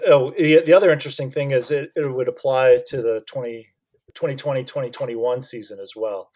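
A man's voice: on the low side, darkish tone, poor audio. A man co-hosting a casual podcast, explaining news at length with restarts and fillers. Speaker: podcast co-host. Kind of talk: casual chat.

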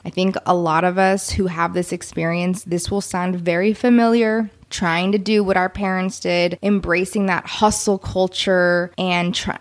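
A woman hosting a podcast, talking casually and with enthusiasm over a remote call. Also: fairly low pitch. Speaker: podcast host.

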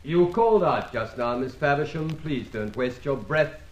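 A man's voice: medium pitch, expressive, moderate pace, lightly acted, rough recording. An actor playing a suave, menacing foreign agent in an old radio adventure serial, formal and clipped in his threats. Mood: cold menace. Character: suave menacing male foreign-agent villain, cornered traitor.

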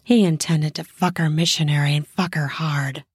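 A woman narrating a scripted erotic story in an intimate, deliberate manner with drawn-out phrasing. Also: sits low, steady tone, husky, no echo, studio quality.

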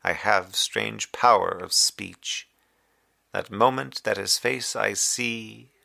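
An actor playing a lonely, wistful man sending a broadcast from a moonbase in a slow audio drama monologue. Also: lowish voice, creaky, bright, forceful tone, full character voice.

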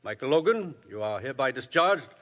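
An actor playing a judge who speaks in a solemn, formal way in a 1950s radio drama. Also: male voice, medium pitch, big pitch swings, a little fast, a bit bright. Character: grave, formal male trial judge. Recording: old radio broadcast with long reverb.

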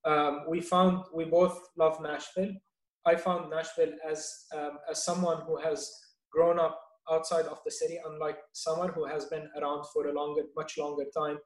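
A middle-aged man from Lebanon, a business guest answering interview questions in a measured way, pausing often with fillers. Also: on the high side, little pitch movement, echoey room, poor audio. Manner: measured, with many fillers and restarts. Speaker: guest, venture investor.

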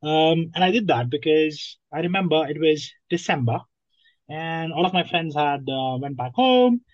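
A man's voice: a little high, animated, normal speed, dry recording, dull audio. A young man from Nepal, a guest on a podcast, speaking hesitantly with many ums and restarts. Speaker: guest, app founder. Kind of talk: podcast interview.

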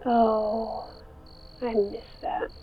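A woman's voice: on the high side, flat delivery, drawn-out words, music in the background. A woman acting as a casual, conversational character on a phone call in an audio drama, in a naturalistic style. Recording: muffled, thin phone-like audio.

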